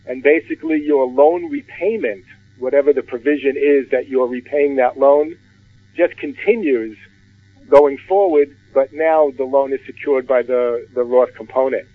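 A man, a retirement plan expert, explaining rules in a measured way on a radio finance discussion. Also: medium pitch, no room sound. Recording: phone-like narrow band.

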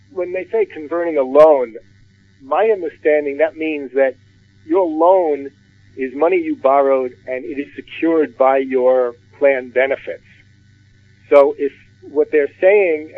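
A man, a retirement plan expert, explaining rules in a measured way on a radio finance discussion. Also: on the high side, no room sound. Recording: phone-like narrow band.

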